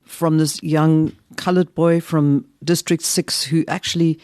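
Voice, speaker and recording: A woman's voice: low voice, steady tone, a little slow, darkish tone, no room sound, studio quality. A woman hosting a podcast interview, asking curious questions and slipping Afrikaans words into her English.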